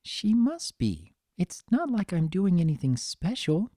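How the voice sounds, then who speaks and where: A woman voicing a gentle, anxious young female cartoon pony, earnest, soft and fretful. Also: lowish voice, very animated, measured pace, dry recording, studio quality, voice pushed up. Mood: musing, uneasy.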